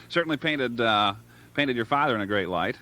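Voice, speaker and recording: A man's voice: medium pitch, lively intonation, normal speed, pushed and bright. A man hosting a live call-in radio show, talking in a rambling way and searching for words as he goes. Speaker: radio talk show host.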